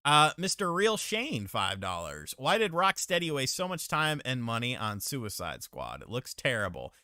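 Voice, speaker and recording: A man with a bright, smooth voice hosts a casual gaming chat. He reads viewer messages and tells lively, swearing-heavy stories about arcades. The close mic leaves his voice dry and clean. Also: mid-range voice, moderate pace, dry recording. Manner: profane, animated storyteller. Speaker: podcast host reading super chats.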